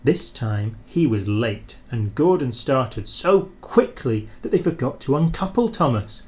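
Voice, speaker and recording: A man's voice: mid-range voice, unhurried. Man reading a children's story aloud. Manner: expressive, animated storybook narration.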